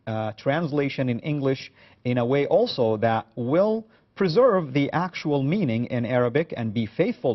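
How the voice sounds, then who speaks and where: A man's voice: mid-range voice, lo-fi sound. A man hosting a studio talk show, speaking with many hesitations and filler sounds as he explains and asks questions. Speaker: host.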